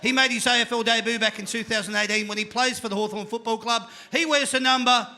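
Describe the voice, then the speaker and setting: A man with an Australian accent hosting a live panel show, energetic and jokey, calling out to a crowd in the venue. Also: extremely high pitch, quick pace.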